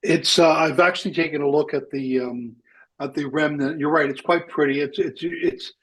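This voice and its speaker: A man hosts a live science radio show, a bit raised in pitch and husky in tone. He talks in a halting way, full of fillers and restarts. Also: fairly even intonation, low-quality recording. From Wales. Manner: hesitant, many fillers and restarts.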